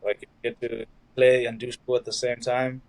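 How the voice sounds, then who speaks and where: A man's voice: medium pitch, a little fast, creaky, rough recording. A young man from South Africa, a college soccer player talking casually as an interview guest, loose and easygoing with lots of filler words. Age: young adult. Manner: relaxed, loose, many "like" fillers.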